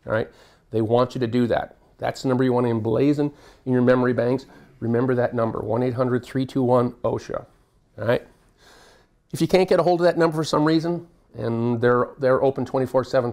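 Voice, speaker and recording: Booming, gravelly male safety presenter. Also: darkish tone. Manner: booming, jokey and conversational. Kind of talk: live workshop presentation. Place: meeting room with an audience.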